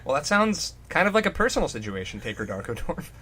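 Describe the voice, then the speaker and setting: A man's voice: fairly high pitch, very animated, fast talker, bright, forceful tone, breathy edge. A man co-hosting a comic fan fiction reading, breaking off into amused, mocking commentary. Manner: amused, mocking riffs on the text. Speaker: podcast co-host.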